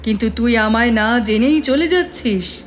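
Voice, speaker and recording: A woman playing a vengeful village goddess in a horror audio drama, speaking with slow, deliberate menace. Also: a little high, a little hiss or hum, close to an everyday voice. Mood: menacing, vengeful. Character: vengeful village goddess, sacrificed daughter, demanding blood.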